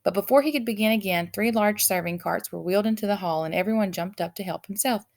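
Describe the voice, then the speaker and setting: A woman reading a children's story aloud as an expressive narrator. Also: mid-range voice, fast talker, creaky. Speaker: audiobook reader.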